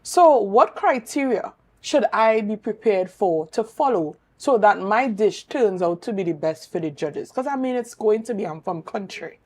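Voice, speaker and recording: A woman's voice: mid-range voice, expressive, normal speed, few pauses. Playful woman host. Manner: playful, questioning. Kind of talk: morning TV studio chat.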